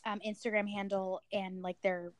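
A woman's voice: mid-range voice, level tone, normal speed, no room sound. Upbeat woman podcast host. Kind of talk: casual podcast interview.

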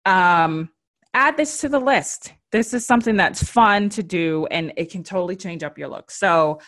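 Chatty low-voiced woman podcast host. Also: moderate pace, fairly bright tone. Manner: chatty and encouraging, with fillers like "um" and "like". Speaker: podcast host, style and beauty expert. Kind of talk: casual tips podcast.

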